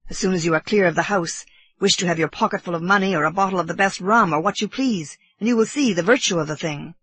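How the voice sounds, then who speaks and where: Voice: medium pitch, fast, fairly bright tone, slightly rough, no echo. An audiobook narrator voicing an earnest, resolute island man with an urgent, desperate way of talking. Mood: firm, persuasive. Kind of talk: audiobook character voice.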